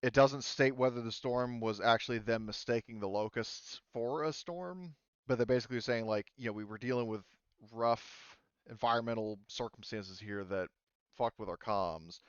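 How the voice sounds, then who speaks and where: A man running a tabletop game, improvising the narration in a halting, casual way. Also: mid-range voice, clear, resonant tone, no room sound, dull audio. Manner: halting, hesitant, casual delivery. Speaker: game master.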